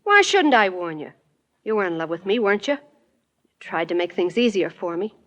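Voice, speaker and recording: A woman's voice: mid-range voice, very animated, moderate pace, a bit bright. An actress playing a tough, intense young woman in trouble in a 1940s radio detective drama, clipped and insistent. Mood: urgent, pleading.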